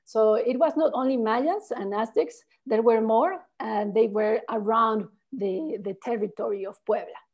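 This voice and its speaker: A woman's voice: fairly high pitch, a little slow, lo-fi sound. A woman from Mexico with a Mexican accent, a local tour guide answering questions on a virtual tour over a video call, explaining things in a friendly way and often tagging her sentences with "no?". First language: Spanish. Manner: friendly, explanatory, tags phrases with "no?".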